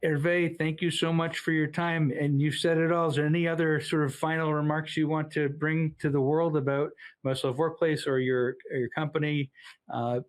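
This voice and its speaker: A man's voice: a little high, level tone, normal speed, low-quality recording. A man hosting an interview, enthusiastic and full of praise for his guest. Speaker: interview host. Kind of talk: interview host.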